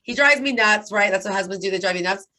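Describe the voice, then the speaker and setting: Raspy-voiced woman telling a chatty story. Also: mid-range voice, quick pace, bright, forceful tone. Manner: chatty storyteller, lots of "like" and "right?". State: hoarse from talking nonstop. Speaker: guest. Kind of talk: casual interview chat.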